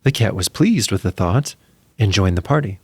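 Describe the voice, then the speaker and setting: Animated male fairy-tale storyteller. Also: sits low, moderate pace, slight background noise. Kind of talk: scripted fairy-tale narration.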